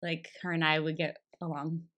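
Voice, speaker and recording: A woman's voice: low voice, flat delivery, moderate pace, a bit bright. A woman in her thirties chatting casually as a podcast guest, giggly and full of fillers. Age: 30s. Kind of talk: casual chat.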